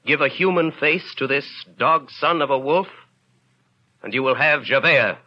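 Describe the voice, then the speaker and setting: An actor playing a solemn, brooding storyteller in a 1930s radio drama, narrating in a grave, deliberate, literary way. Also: male voice, a little high. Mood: grim. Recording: dull 1930s radio recording. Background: faint music.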